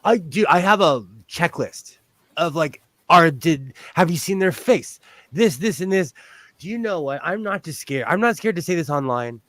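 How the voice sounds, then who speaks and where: Fortyish guy, kinda high loud voice, rambly livestream chat w lots of laughing, dry close sound. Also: animated, normal speed, no room sound. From lives on the West Coast of the US. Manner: rambling, laughs often, restarts sentences. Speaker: sewing livestream host. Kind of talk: casual chat while sewing.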